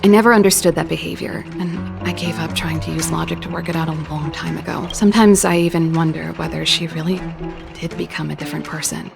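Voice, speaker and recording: An actress voicing a wry, candid young exiled scientist in a video game, talking in a reflective, articulate way. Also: female voice, low-pitched, steady tone, fast talker, vocal fry. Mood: wistful, troubled. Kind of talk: scripted dramatic game dialogue. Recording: loud background music under the voice. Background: game cutscene music.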